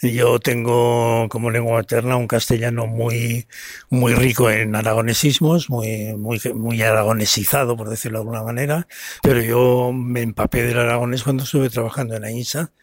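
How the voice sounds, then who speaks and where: A man's voice: medium pitch, level tone, normal speed, dry recording, clean studio sound. A man with an accent from Spain giving unscripted interview answers, often hesitating and rephrasing. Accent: Spanish from Spain. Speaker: writer and historian being interviewed.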